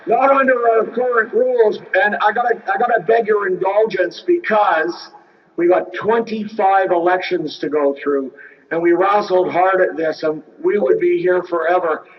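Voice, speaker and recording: High-voiced man chairing a convention. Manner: brisk, informal, firm with the floor. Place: large convention hall. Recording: distant, dull hall audio.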